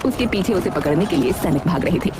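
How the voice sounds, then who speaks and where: Female narrator, animated intonation, medium pitch, scripted storybook telling, clear music bed. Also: fast talker.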